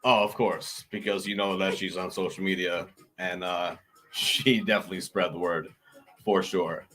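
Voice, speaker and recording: Casual male podcast co-host, punchy hype-man delivery, bright edge. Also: sits low, fairly even intonation, moderate pace. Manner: hype-man openings, emphatic repeats.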